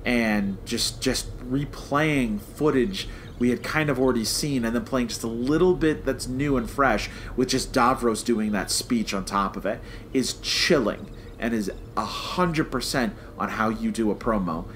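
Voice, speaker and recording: An American man co-hosting a podcast in casual, animated fan chat that slips into mock quotes. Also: medium pitch, unhurried, few pauses, slight background noise. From United States. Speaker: podcast co-host.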